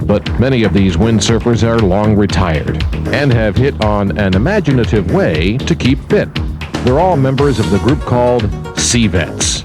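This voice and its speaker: A man reading scripted feature narration as a reporter. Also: fairly low pitch. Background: music.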